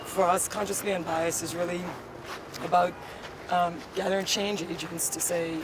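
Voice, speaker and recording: A woman from the Bahamas, a guest in an interview, telling stories in an animated way and jumping high when she acts out what she was thinking. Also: moderate pace, noisy background. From Nassau, Bahamas. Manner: animated storytelling, rising high when acting out her past thoughts. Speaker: interview guest, diversity director. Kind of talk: conversational interview. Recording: loud music playing behind the voice.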